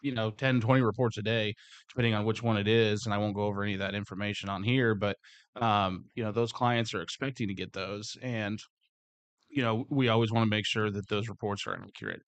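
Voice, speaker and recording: A man with a slightly hoarse voice, speaking as a security supervisor in casual workplace talk, rambling and restarting his words. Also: on the low side, expressive, a little fast, no room sound. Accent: Midwestern American.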